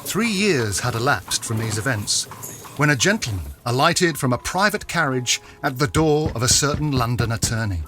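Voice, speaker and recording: A man's voice: mid-range voice, expressive, moderate pace, sharp tone, soft background music. A man reading scripted narration for a dramatic audio story.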